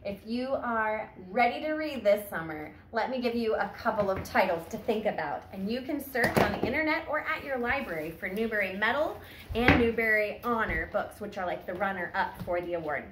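A woman's voice: mid-range voice, lively intonation, a little fast. A woman in her thirties talking casually to camera like a teacher presenting to families, recorded indoors on a phone a short distance away. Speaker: teacher introducing a children's summer reading challenge. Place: indoor room at home, sitting on a wooden floor against a wall. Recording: echoey room, faint background noise.

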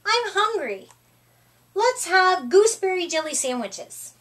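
A feisty little vampire girl from a picture book, acted out by a woman reader. She is stubborn and excitable, exclaiming in a high, swooping voice. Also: measured pace, full character voice. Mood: insistent. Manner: emphatic, exclamatory. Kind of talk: children's storybook character reading.

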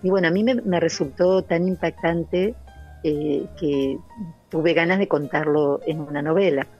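Low-voiced woman, hesitant. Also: a little slow, faint music behind. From Santa Fe, Argentina. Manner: earnest, with many hesitant "eh" fillers. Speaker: novelist guest. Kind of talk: interview over a call. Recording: dull, lo-fi remote audio.

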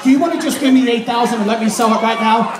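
Brief: excitable male charity auctioneer, high-pitched, booming through a roomy PA over crowd chatter. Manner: energetic, joking, rapid bid calling. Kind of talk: live charity auction calling. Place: large event tent with a crowd. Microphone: handheld mic through PA, filmed from audience phone. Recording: echoey PA sound with crowd.

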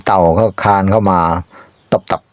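A man telling an animal folk tale informally, in halting, repetitive phrases with spoken sound effects. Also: dry recording. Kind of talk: informal, improvised animal storytelling.

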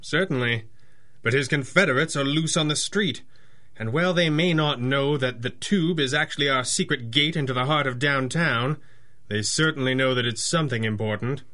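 A commanding masked crimefighter from a 1930s radio serial, played by a man. His mid-pitched voice is bright and forceful, and he speaks formally and deliberately with theatrical authority. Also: natural voice. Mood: brisk, measured. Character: masked 1930s male vigilante hero, commanding and shrewd. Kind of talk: 1930s radio drama acting.

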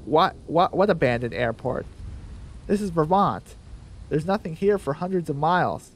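Brisk male firefighter, skeptical, radio-play rescuer, acted by a man, animated delivery. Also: on the high side, slight background noise, natural voice. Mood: puzzled, skeptical.

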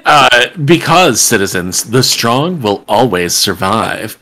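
A man's voice: lowish voice, big pitch swings, takes it slowly, pushed and bright. A man reading a script aloud at a table read on a video call, irreverent and jokey, with sweary asides. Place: home room on a group video call.

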